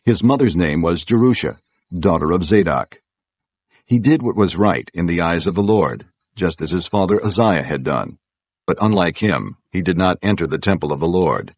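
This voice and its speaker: A man with a deep, husky voice narrates scripture as solemn, measured storytelling. His intonation is richly varied. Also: lively intonation, no room sound.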